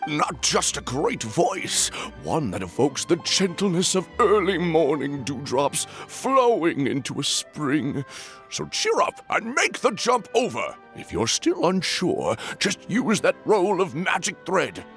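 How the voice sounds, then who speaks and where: A hot-tempered little paper frog from a game, teasing his friend in a playful voice. His tone is gravelly and swings widely in pitch. Music plays softly underneath. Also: big pitch swings, slow, full character voice.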